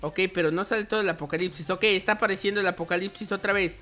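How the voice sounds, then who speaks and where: A man's voice: high voice, steady tone, very fast, bright, forceful tone. A man hosting a gameplay roleplay video, commentating playfully and exclaiming with words repeated in bursts. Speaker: host of a gaming video channel. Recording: music behind the voice. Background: music.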